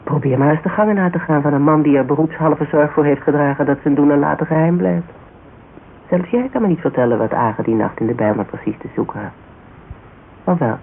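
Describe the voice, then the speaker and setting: Sceptical female detective, thin radio sound. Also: sits low. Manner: measured, probing, sceptical. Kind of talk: Dutch radio drama acting, conversational. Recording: narrow, faintly noisy old radio broadcast.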